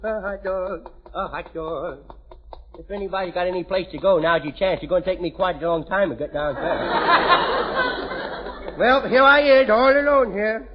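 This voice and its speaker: A man's voice: high-pitched, moderate pace, a crowd in the background, close to an everyday voice. A man playing a mischievous little boy in 1940s radio comedy, talking in childish, ungrammatical baby talk. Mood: silly. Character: mischievous little boy Junior, bratty and cheeky. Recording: noisy lo-fi vintage radio broadcast.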